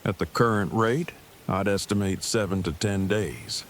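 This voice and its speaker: Man playing a low-voiced, wordy, bookish survivor. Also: measured pace. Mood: matter-of-fact. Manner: verbose, formal, stilted precise phrasing. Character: earnest, awkward, bookish man in a post-apocalyptic survivor camp. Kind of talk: fan audio drama acting. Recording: clearly noisy background.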